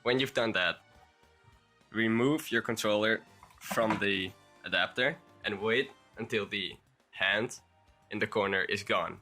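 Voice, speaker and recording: Casting note: young Dutch man, slightly low, bright and forceful tone, under the weather, faint music underneath. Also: measured pace, pauses often. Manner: rambling, hesitant with restarts. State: sick. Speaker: YouTuber. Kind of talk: game tutorial voice-over.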